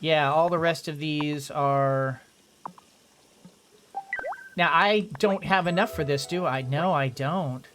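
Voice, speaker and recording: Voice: mid-range voice, takes it slowly, pauses often, a bit bright, a little hiss or hum. A game streamer giving casual commentary, rambling and thinking aloud while playing.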